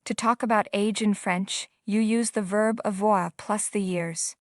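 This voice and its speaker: A woman giving a calm, scripted lesson in plain English, pausing to quiz the listener. Her pitch sits mid-range and the sound is close and dry, with no room around it. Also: dry recording. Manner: slow, prompting questions to the viewer. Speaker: language teacher.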